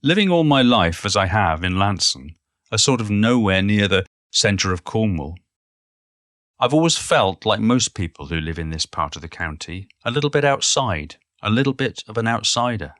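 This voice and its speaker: A man playing a reflective, modest older Cornish poet in an audio play monologue, reminiscing in a measured, literary and musing way. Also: fairly low pitch, big pitch swings, a bit bright, dry recording, clean studio sound. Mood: wry, musing.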